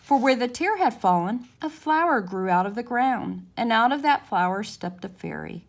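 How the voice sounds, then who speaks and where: A woman narrating a children's storybook as a story time reader, in a measured, expressive way. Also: a little high.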